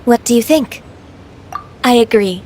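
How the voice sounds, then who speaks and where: A woman voicing a gentle, reserved young half-immortal in an anime-style video game, speaking in a formal, earnest, measured way. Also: a little high, close to an everyday voice. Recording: game audio with faint noise.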